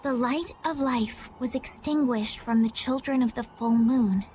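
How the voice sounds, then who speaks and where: Casting: woman to play earnest anime game maiden, high, sincere, gently naive. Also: a little slow, a little hiss or hum, close to an everyday voice. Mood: solemn.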